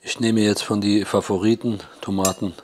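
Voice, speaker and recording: A hoarse man with a cold, explaining gardening in a plain, matter-of-fact way with slightly broken German. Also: on the low side, level tone, normal speed, darkish tone, a little hiss or hum. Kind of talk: casual explanation. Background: clinking dishes and cutlery.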